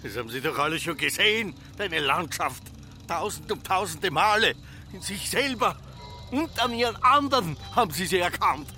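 An obsessive Austrian farmer, animated and declamatory. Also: male voice, sits high, normal speed, faint music behind, full character voice. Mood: defiant. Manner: declamatory, solemn, incantatory listing. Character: stubborn farmer obsessed with building his machine. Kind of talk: literary radio drama monologue.

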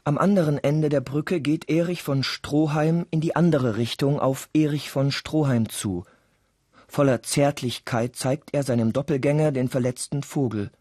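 A man with a husky voice, narrating a scripted radio play in a deliberate, dramatic storytelling manner. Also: medium pitch, darkish tone, dry recording. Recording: dry studio with music underneath. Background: music.

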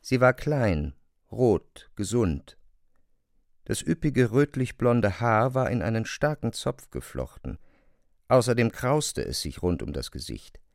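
A man narrating a literary radio drama with measured, descriptive storytelling. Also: medium pitch, very animated, creaky, no room sound, studio quality.

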